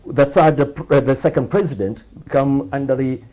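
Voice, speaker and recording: Husky man explaining. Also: mid-range voice, mellow, rough recording. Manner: measured, explanatory, firm. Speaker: guest. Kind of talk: conversational interview.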